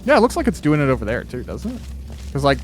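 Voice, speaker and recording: A man's voice: mid-range voice, huge pitch swings, fast talker, a little hiss or hum. A man interviewing in an easygoing, casual way while playing a video game. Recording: music in the background.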